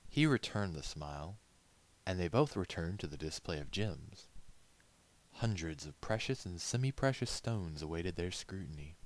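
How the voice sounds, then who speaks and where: A man reading a story aloud as scripted narration, in a measured, literary storytelling manner. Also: low-pitched, lively intonation. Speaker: amateur audiobook reader.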